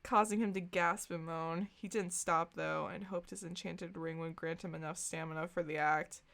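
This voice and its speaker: A young woman with a fairly low voice and plenty of vocal fry. She co-hosts a podcast, reading aloud and talking in a loose, informal, amused manner. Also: steady tone, normal speed, fairly bright tone. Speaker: podcast co-host. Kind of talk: casual reading aloud of fan fiction and chat.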